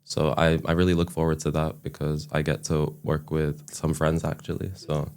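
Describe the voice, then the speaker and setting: A man's voice: very deep voice, little pitch movement, moderate pace, a little mellow. A young man from Turkey, a student guest, chatting casually and hesitantly with many restarts and fillers. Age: young adult. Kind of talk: casual chat.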